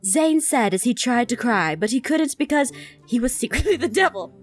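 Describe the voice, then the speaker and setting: A woman with a high, animated voice reads fan fiction aloud as host. She is playful and giggly, prone to exclaiming, with faint music behind her. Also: moderate pace. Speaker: host and narrator. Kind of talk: reading fan fiction aloud, improvised comedy.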